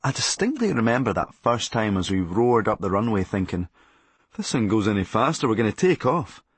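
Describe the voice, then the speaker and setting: A man's voice: lowish voice, sing-song, brisk, breathy edge, no room sound. A Scottish man narrating a comic memoir in a sardonic, darkly comic and blunt manner. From Scotland. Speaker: comedian narrating a memoir audiobook.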